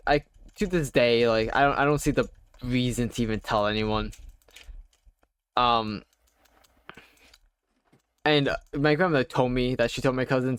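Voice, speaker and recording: A young adult man telling a personal story while playing a game, halting and wry, full of fillers and restarts. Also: medium pitch, a bit bright, smooth, no room sound. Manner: wry, self-deprecating. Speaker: gaming YouTuber. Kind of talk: casual storytelling over gameplay.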